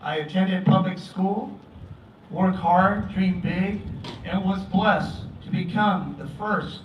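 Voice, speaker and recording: A man's voice: high voice, slow. A man in his fifties who grew up in Vietnam and speaks Vietnamese as his first language, giving an earnest, grateful speech at a memorial ceremony. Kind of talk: prepared public speech. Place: aboard a museum ship, outdoors. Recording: echoey, distant, dull PA sound, noisy.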